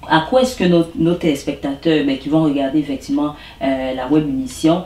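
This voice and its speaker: Woman interviewer, attentive and steady, echoey room, mic at a distance. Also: sits low, a little fast. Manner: attentive, frequent short backchannels. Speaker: host, interviewer. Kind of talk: interview questions.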